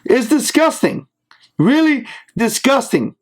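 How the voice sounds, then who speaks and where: A man's voice: extremely high pitch, very animated, slow pace. A man with an accent, host of his own channel, giving an emphatic opinion commentary that is full of tag questions like "right?". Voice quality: speaks with an accent that is not standard American.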